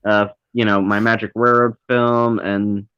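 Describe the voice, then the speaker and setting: A man chatting casually as a guest on a live stream, lively and talkative. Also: on the low side, a little slow, smooth, dry recording, highs cut off.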